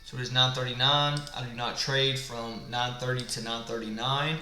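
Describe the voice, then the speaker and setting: Tired man with a bright, gravelly voice. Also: medium pitch, steady tone, normal speed. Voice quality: gravelly, smoker-like voice. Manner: thinks aloud, asks and answers his own questions. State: tired late at night. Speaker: trading instructor. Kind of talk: live screen-share trading walkthrough. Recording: echoey room with faint background music.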